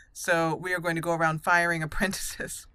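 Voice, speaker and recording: A woman's voice: on the low side, sharp tone, clear, resonant tone, dry recording. A woman hosting a video game roleplay let's play, talking in a conversational, explanatory way. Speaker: gaming channel host. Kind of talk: roleplay let's play commentary, reading character dialogue in between.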